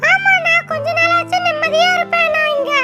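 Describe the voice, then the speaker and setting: Extremely high and squeaky, this is a sweet young female crow in a cartoon fable. She speaks with childlike earnestness and plucky politeness, over loud music. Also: steady tone, brisk. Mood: grateful. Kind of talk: Tamil moral-story cartoon dub.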